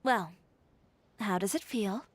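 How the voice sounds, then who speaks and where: A woman's voice: mid-range voice, takes it slowly, a little hiss or hum, natural voice. A woman playing a poised, assertive young fashion designer in a video game, speaking in a composed, confident, wry way. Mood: relaxed, wry. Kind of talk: video game character dialogue.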